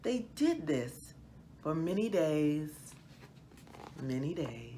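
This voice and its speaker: A woman reading a children's picture book aloud as a storyteller, in a deliberate, expressive manner. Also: low voice, a little hiss or hum. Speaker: read-aloud host, storyteller.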